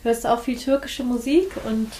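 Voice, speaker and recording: A woman's voice: on the high side, moderate pace, dark tone. A woman hosting a podcast who asks questions and adds short affirming murmurs. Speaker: podcast host. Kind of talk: interviewing.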